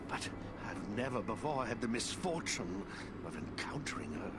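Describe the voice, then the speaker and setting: Eccentric old wizard, fantasy game, kindly, fussy, whimsical rambling, hugely swooping pitch. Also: male voice, big pitch swings, normal speed, heavy background noise. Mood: rueful, musing. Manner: rambling, fussy, whimsical, genteel British phrasing. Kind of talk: theatrical fantasy video game acting.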